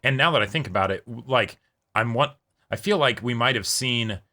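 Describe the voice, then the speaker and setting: A man's voice: mid-range voice, fairly quick, bright, forceful tone. A man hosting a reaction show, chatting casually and enthusiastically in a rambling way about a TV episode. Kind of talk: casual excited TV episode discussion.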